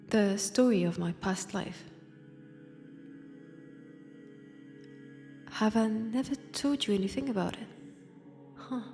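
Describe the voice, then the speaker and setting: A woman's voice: medium pitch, warm tone. A woman with a French accent playing a wistful, wry centuries-old vampire in a convent, in an intimate audio roleplay, hesitant and sighing. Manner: hesitant, sighing, drifting into near-whispers. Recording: long church-like reverb.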